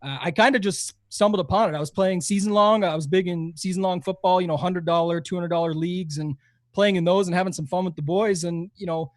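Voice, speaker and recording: A man's voice: high voice, fairly even intonation, fast talker, dry recording, studio quality. A young man with a Canadian accent, talking eagerly and rambling as a podcast guest in a casual interview. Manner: eager, rambling, frequent fillers and restarts. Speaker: guest, fantasy golf podcaster.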